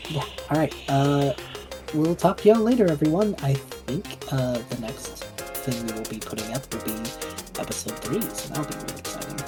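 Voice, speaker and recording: A man's voice: medium pitch, normal speed, over loud music. A man hosting a podcast, chatting casually in an encouraging, enthusiastic way. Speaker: podcast host. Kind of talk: casual interview, wrapping up the show.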